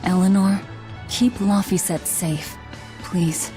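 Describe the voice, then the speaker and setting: A woman's voice: medium pitch, fairly even intonation, slow, dark tone, faint music behind, natural voice. A woman voicing a grim, vengeful young woman who leads her band with cold, clipped commands in a game cutscene. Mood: cold, commanding. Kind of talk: video game cutscene voice acting.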